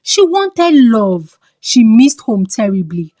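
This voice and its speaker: A woman with a Nigerian accent reads a scripted folktale in a dramatic, expressive storytelling manner. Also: a little high, slow, no room sound, clean studio sound.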